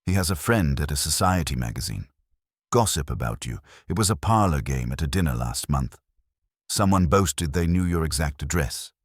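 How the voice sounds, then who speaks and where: Man reading as an anxious wife, low husky voice. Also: expressive, normal speed, dry recording, clean studio sound. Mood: pleading. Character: anxious, well-bred wife pleading for detective's help.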